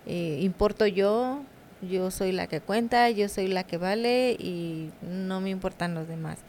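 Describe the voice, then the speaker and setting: A woman's voice: medium pitch, measured pace, a little mellow, a little hiss or hum. A woman in a conversational devotional discussion, speaking in an agreeable, affirming way.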